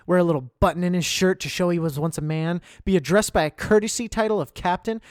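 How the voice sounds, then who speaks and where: A man's voice: sits high, dry recording, clean studio sound, close to an everyday voice. A man voicing a weary, glum pilot who has been discharged, speaking in a bitter, clipped way.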